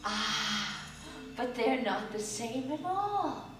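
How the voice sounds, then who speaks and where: A woman's voice: fairly high pitch, sing-song, drawn-out words. Theatrical woman storyteller in a very echoey theater. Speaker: reader in a staged ensemble reading. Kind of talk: dramatic literary story narration, live performance. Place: theater stage, live performance. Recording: echoey distant live theater recording with music. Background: music and sound design.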